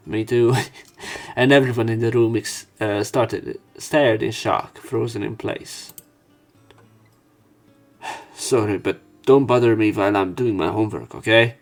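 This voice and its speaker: A man giving casual, sarcastic let's play commentary and reading the game's dialogue aloud, with some swearing. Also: lowish voice.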